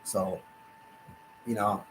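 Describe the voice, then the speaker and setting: A man's voice: on the low side, little pitch movement, extremely slow, a little hiss or hum, low-quality recording. A middle-aged man from Texas with a Texan accent, hosting his own sports stream in a loose, rambling monologue full of restarts and fillers. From Texas, USA. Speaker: sports channel host. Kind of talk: live-stream casual monologue. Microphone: desktop computer setup.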